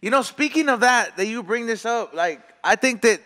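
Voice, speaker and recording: A man co-hosting a podcast in casual chat, profane and laughing, with quick asides in Spanish. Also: very high voice, expressive, sharp tone. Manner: profane, laughing, slips into Spanish for quoted parents. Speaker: podcast co-host. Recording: hall reverb.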